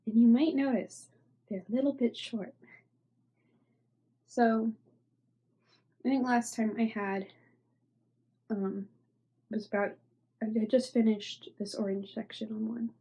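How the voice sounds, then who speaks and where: Stuffy-voiced woman chatting softly about knitting. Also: on the high side, steady tone, measured pace, pauses often, husky. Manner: rambling, filler-heavy, trailing off into soft near-whispers. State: stuffy, congested. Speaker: knitting podcast host. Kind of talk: casual show-and-tell chat.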